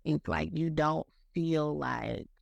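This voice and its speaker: A speaker in their thirties talking faith in a loose, rambling way on a podcast. The voice has a heavy gravelly fry throughout. It is recorded close and completely dry. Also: medium pitch, lively intonation, slow pace, no echo, highs cut off. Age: 30s. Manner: rambling, heavy use of "like" and "you know", repeats words. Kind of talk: casual faith talk.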